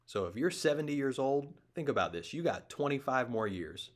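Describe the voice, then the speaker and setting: A man's voice: medium pitch, expressive, normal speed. A man in his thirties hosting his own show, talking in a casual, rambling, emphatic monologue. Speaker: show host.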